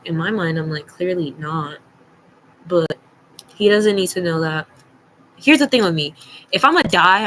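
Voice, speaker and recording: A woman's voice: low-pitched, a bit bright, a little hiss or hum, rough recording. A young adult woman telling a personal story casually, in a blunt, slangy, emphatic way, acting out bits of dialogue. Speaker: storytime vlogger.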